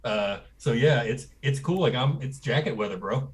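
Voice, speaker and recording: A young man from Texas talks with a blunt, ranting edge. His voice sits at an ordinary middle pitch, and he speaks in easy, casual podcast banter full of strong opinions. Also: lively intonation, moderate pace. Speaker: podcast co-host.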